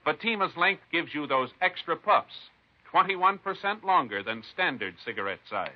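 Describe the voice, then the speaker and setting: A man's voice: a little high, lively intonation, normal speed. A man with an American accent announcing in a formal, emphatic 1950s radio style. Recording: dull vintage radio recording.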